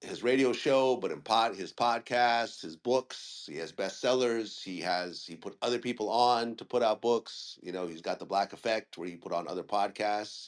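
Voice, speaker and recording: A man in his forties from Ukraine who grew up in Northern California, talking casually with frequent restarts and fillers. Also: sits low. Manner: rambling, with many restarts and fillers. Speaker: guest interviewer and media host. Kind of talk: casual audio chat room conversation.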